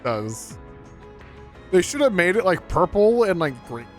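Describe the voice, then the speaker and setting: A man's voice: high voice, big pitch swings, unhurried. A man chatting casually as he commentates on a video game, thinking aloud in loose, rambling asides. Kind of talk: casual chat over gameplay. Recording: game music under the voice. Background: video game music.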